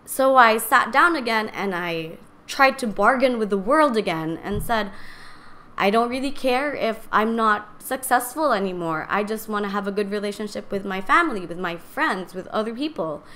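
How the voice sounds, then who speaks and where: A woman in her twenties speaks at a medium pitch with a raspy, overused voice that shakes and cracks. She hosts a casual live podcast, chatting candidly and rambling a little as she addresses her viewers. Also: expressive, normal speed, sharp tone. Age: 20s. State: strained voice from singing and talking too much. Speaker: podcast host. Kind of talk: casual personal talk to live stream viewers.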